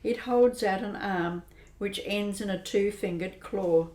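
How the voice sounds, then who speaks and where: A woman's voice: mid-range voice, unhurried. Woman narrating a radio play with echo. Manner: steady, descriptive. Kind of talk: scripted radio drama narration. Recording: roomy echo.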